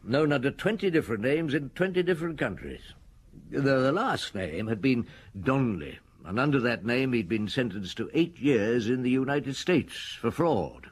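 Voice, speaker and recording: A weary middle-aged police inspector, husky, voice rises and falls a lot, radio drama. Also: male voice, mid-range voice, lively intonation, moderate pace. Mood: matter-of-fact. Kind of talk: radio drama dialogue and narration.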